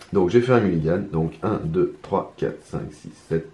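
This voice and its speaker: Man, hoarse, hesitant mumbly play-by-play, low voice, roomy echo, faint ambience. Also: background noise. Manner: hesitant, mumbling, many restarts, muttering to himself. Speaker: card game player. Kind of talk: casual solo gameplay commentary.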